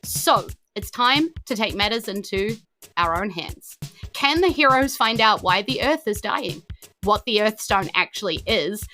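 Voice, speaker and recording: A woman's voice: on the high side, bright, forceful tone. A woman with a New Zealand accent narrating a tabletop game as host, moving between theatrical storytelling and chatty asides. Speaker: game master and podcast host.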